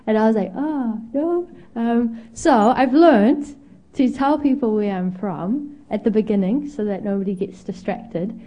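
Mellow-voiced young woman from Zimbabwe preaching. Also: mid-range voice, moderate pace. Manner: candid, self-deprecating, frequent ums. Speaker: guest preacher. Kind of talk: storytelling sermon.

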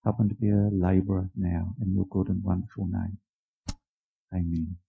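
A man leading a church service, welcoming a guest and praying in an informal, halting way. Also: sits low, flat delivery, creaky, breathy edge, phone-quality sound. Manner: informal and halting, many restarts. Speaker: church leader.

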